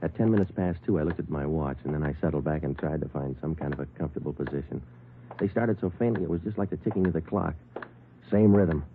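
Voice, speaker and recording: A low-voiced, terse police sergeant. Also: male voice, fast talker. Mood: flat, weary. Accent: American. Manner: terse, deadpan. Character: weary, clipped, matter-of-fact police sergeant. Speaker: police detective and narrator. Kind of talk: 1950s radio drama acting and narration. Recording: muffled old radio recording, music behind.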